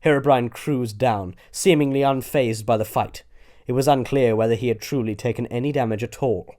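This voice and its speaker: A man's voice: medium pitch, moderate pace, darkish tone. A man narrating a scripted adventure story in a dramatic audiobook style.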